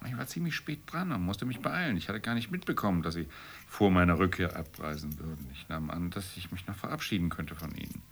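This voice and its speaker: An actor playing a weary, grumbling journalist husband from middle-class Rome, terse and morose, in a radio play. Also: male voice, sits low, animated, a little fast. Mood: regretful. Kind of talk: radio play dialogue acting.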